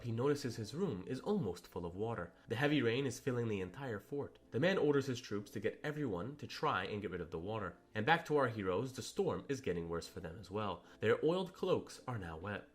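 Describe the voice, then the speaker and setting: Male recap narrator, lowish register, very lively intonation, casual storytelling from a script.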